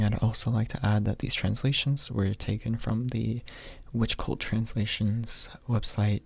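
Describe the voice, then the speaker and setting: A young man with a soft, husky voice, reading quietly in an ASMR audiobook style, close to the microphone. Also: on the low side, dry recording. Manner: soft-spoken, hushed late at night. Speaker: amateur audiobook reader. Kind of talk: ASMR, quiet storybook narration. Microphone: close USB microphone without pop filter.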